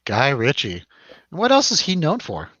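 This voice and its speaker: Animated man hosting a film chat, dull sound. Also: fairly high pitch, moderate pace, no room sound. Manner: rambling, jokey, puts questions to co-host. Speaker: host of a film review show. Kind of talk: casual chatty film discussion over drinks.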